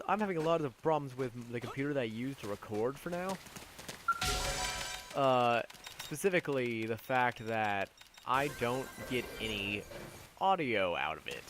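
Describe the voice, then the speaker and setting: A man's voice: mid-range voice, a little hiss or hum. A man giving casual, rambling gameplay commentary as a video host, with a self-deprecating manner.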